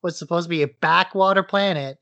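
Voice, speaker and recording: A man's voice: sits high, brisk, gravelly, bright, forceful tone, dull audio. A man co-hosting a podcast with casual, conversational commentary and a wry, informal way of talking. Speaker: podcast co-host.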